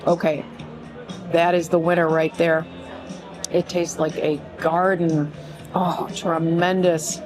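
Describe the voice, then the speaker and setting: A woman's voice: fairly low pitch, flat delivery, slow pace, pauses often, over music. A woman with a crackly voice, hosting a casual vlog and chatting eagerly to camera from a restaurant table. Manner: chatty and enthusiastic. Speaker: vlog host and tour guide. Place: restaurant, indoors.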